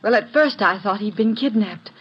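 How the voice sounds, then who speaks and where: An actress playing an anxious young woman with a breathy voice in a 1950s radio drama. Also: medium pitch, lively intonation, moderate pace. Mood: worried. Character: anxious young woman, missing man's daughter. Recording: dull old radio recording with soft music.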